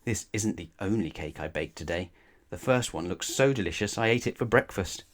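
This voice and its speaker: A man's voice: fairly low pitch, animated, normal speed. A man voicing a cheerful, food-loving little lady in a children's storybook, sweet and chatty. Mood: chuckling confession.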